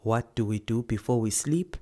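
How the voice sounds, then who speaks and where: A woman's voice: rumbling low, normal speed, warm tone, pitched below the performer's own voice. Woman acting a bossy, tender South African elder woman. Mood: hushed, soothing. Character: Nkgonopeni, female elder caring for young child, bossy yet tender.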